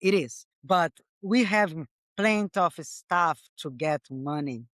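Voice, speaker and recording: A woman's voice: on the low side, lively intonation, slow pace, no room sound. Brazilian woman pilot, dry sound. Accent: Brazilian Portuguese. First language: Portuguese. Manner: earnest, non-native phrasing, laughs often. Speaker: commercial pilot guest. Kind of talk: casual interview conversation.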